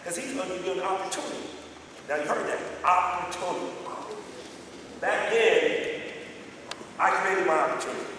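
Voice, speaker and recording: Loud fortysomething guy from norcal working the crowd, far off in a big echoey hall, faint hiss. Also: sits high, moderate pace, long pauses. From Northern California. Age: 40s. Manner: energetic, quizzes the crowd directly. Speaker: guest speaker. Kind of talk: motivational conference talk to a live audience. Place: large conference hall. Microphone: distant mic picking up the room.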